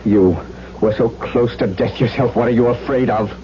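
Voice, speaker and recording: A man plays a desperate baron in a seventies radio drama, insistent and theatrical. His mid-pitched voice comes through a dull, noisy broadcast recording. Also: fairly even intonation, moderate pace, lightly acted. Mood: challenging. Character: desperate, demanding young baron, bereaved husband. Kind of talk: 1970s radio drama acting.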